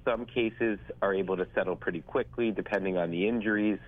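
A man with a low voice calls in over a thin, phone-like line. He is congested and stuffed up, and he talks in a chatty, salesy way that circles back and repeats itself. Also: fairly quick. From northeast United States. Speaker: attorney calling in to radio. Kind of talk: radio call-in chat.

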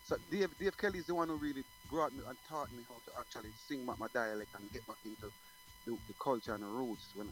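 A man's voice: fairly high pitch, wildly swooping pitch, fast, fairly bright tone, a little hiss or hum, rough recording. A middle-aged man from Jamaica, a guest singer talking casually in an interview, rambling with many restarts.

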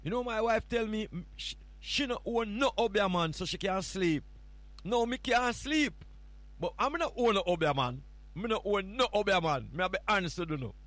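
A man's voice: high-pitched, lively intonation, moderate pace, fairly bright tone, no room sound. A man with a Jamaican accent, filling in as a radio host and chatting casually on a morning show in a playful, rambling, teasing way.

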